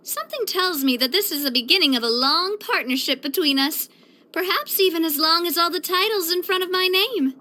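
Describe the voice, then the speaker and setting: A woman's voice: very high-pitched, a little fast, full character voice. An actress playing a spirited, proud and affectionate young woman boss in a video game, with a theatrical, bubbly delivery. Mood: proud, welcoming. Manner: theatrical, expressive, bubbly, with playful grandiosity. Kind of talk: scripted video game character voice lines.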